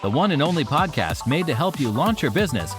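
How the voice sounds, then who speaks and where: A man's voice: a little high, sing-song, fast. A man delivering an upbeat, scripted show introduction as the announcer. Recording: announcer voice over music.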